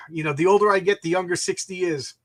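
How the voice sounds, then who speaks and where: A man's voice: high-pitched, fast. A man in his fifties hosting a casual live chat, rambling through anecdotes in a jokey, self-deprecating way. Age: 50s. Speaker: live stream host chatting about comics.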